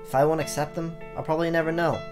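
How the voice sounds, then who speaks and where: Expressive male let's player over game music. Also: a little high, very fast. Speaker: let's play host. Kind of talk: reading game text aloud with casual reactions.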